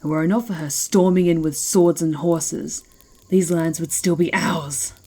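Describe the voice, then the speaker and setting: A proud she-wolf ruling an ancient pack, acted by a woman in an ASMR fantasy drama. Her voice sits very low for a woman and has a husky rasp. She speaks with haughty, menacing deliberation. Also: steady tone, unhurried, mellow. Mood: bitter. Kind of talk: ASMR fantasy roleplay acting.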